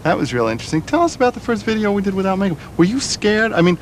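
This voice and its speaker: A man with a somewhat high voice, joking and teasing through a loose interview. His delivery is playful and quick with comebacks. Faint noise sits behind him. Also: very animated. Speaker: band member being interviewed.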